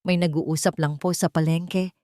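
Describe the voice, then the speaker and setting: A woman's voice: fairly low pitch, steady tone, moderate pace, mellow, no echo, studio quality, lightly acted. A woman voicing a frightened but brave young housemaid in an audio story, polite and deferential, trembling yet firm.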